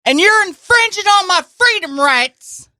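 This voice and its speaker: A woman's voice: very high voice, animated, a little slow, pushed and bright, no room sound, pitched above the performer's own voice, exaggerated voice. Woman doing a blustering anti-mask customer. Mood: indignant. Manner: blustering, indignant. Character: defiant anti-mask woman customer, indignant and self-righteous. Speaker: podcast co-host. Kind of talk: improv sketch. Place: dining room.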